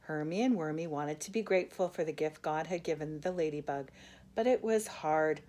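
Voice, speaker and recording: An older woman reads a children's picture book aloud in a gentle, expressive way, with asides to a young listener. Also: sits low, moderate pace. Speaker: grandmother storyteller.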